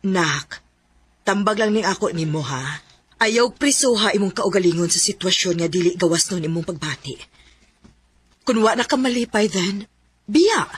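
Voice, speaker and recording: An actress playing a caring older mother in a radio drama, gently advising, with a Cebuano Filipino accent. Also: medium pitch, lively intonation, normal speed, long pauses, breathy edge. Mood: gentle, concerned.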